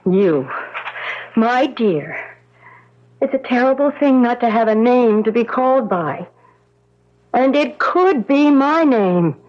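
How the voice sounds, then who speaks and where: High-voiced, anguished young woman, dull recording. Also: expressive, slow pace, long pauses. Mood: distressed. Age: young adult. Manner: emotional, dramatic, insistent. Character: troubled, stubborn young amnesiac woman, anguished. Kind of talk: 1970s radio drama acting. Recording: dull lo-fi 1970s radio recording.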